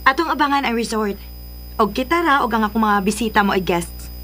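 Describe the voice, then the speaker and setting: An actress playing a pampered young daughter, sweet but willful, in a radio drama, with a Filipino accent. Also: female voice, a little high, expressive, a little fast, pushed and bright, a little hiss or hum. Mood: sweet, excited. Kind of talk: Philippine radio drama acting.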